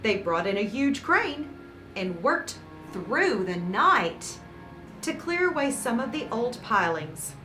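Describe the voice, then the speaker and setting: Woman, higher-pitched voice, expressive children's read-aloud, dramatic emphasis, encouraging host, clear background music. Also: slow pace. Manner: dramatic emphasis, with warm encouraging asides to young listeners.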